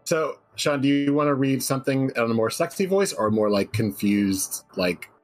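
A man's voice: medium pitch, animated, moderate pace, faint music behind. A man in casual, joking banter as a guest reader on a stream.

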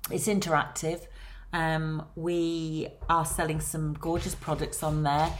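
Low-voiced Lancashire woman, chatty. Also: flat delivery, unhurried, slight background noise. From Lancashire, England. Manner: chatty, with frequent ums. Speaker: guest. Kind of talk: casual personal account.

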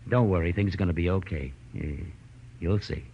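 An actor playing a sly, slangy burlesque comic in 1940s radio style, chummy and wheedling. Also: male voice, low voice, a little fast. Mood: coaxing, smitten. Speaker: supporting actor. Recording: muffled, noisy old radio recording.

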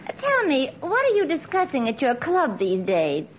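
A woman's voice: high-pitched, huge pitch swings, heavy background noise. An actress playing a dim, brassy, man-crazy young woman with a nasal, adenoidal voice in 1940s radio comedy. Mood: wisecracking. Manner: slow, wisecracking. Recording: muffled old radio, loud studio audience.

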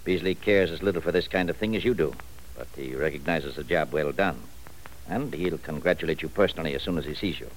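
A man playing a manipulative professor in an old radio drama, smooth and patronizing. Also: extremely low pitch, quick pace, low-quality recording. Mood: cool. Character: scheming older professor, cool and manipulative. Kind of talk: 1940s radio acting. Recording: faint hiss of an old radio recording.

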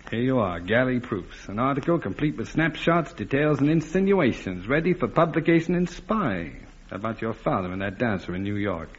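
An actor playing a suave, sardonic, teasing society ex-husband in a vintage radio play. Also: male voice, medium pitch, big pitch swings, normal speed, husky. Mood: coolly mocking. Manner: wry, drawling, mocking. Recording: muffled old radio recording.

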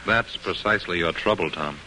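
A practical, skeptical gentleman lawyer in vintage radio drama. His voice is low and forceful, blunt in formal diction, on a slightly hissy recording. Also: a little fast, low-quality recording. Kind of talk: old-time American radio drama acting.

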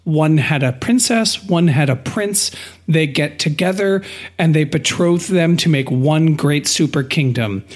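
A man co-hosting a podcast, discussing analytically in a deliberate, slightly formal conversational way. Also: a little high. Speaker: podcast co-host.

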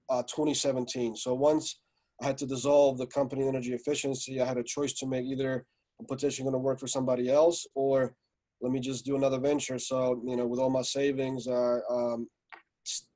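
A man in his forties who grew up in Slovakia talks through his story as a podcast guest. His voice is mellow and dark, and his delivery stays flat and even. He rambles easily, with plenty of fillers. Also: mid-range voice. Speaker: guest, music entrepreneur. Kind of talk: casual podcast interview.

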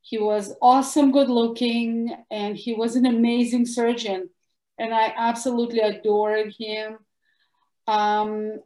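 A woman with a Russian accent, a guest doctor answering interview questions in an animated, emphatic way. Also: mid-range voice. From former Soviet Union. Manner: animated, emphatic, bursts of louder words. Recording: echoey room.

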